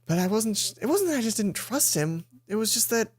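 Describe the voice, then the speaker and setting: A woman's voice: medium pitch, big pitch swings, a little fast, darkish tone, breathy edge. A woman hosting a let's play, reading a visual novel aloud and adding her own side comments. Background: game music.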